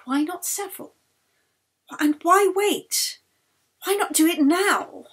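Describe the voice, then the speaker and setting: A woman narrating a novel as its author, with dramatic, deliberate phrasing. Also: very high voice, expressive, a bit bright. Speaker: author reading their own novel. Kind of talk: expressive audiobook narration. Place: recorded at home.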